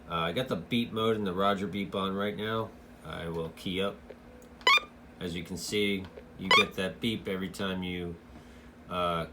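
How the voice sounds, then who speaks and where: A man giving a casual, hands-on product review in a halting way, as a hobbyist. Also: deep voice, fairly bright tone, slight background noise. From United States.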